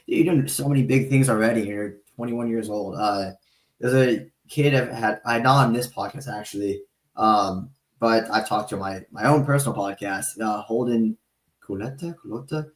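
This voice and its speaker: A man of twenty-eight hosting a podcast interview, talking in a relaxed, rambling, chatty way. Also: mid-range voice, moderate pace. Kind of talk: casual interview chat. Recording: roomy echo.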